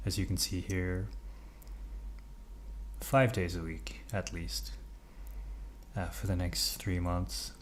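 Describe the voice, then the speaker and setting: Low-voiced young english guy, slow and hesitant, lots of ums, chatting while he stretches. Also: a little hiss or hum. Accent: English with Norwegian upbringing. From England. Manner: relaxed, hesitant, many ums and uhs. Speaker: vlogger. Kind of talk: casual vlog narration. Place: home room, while stretching.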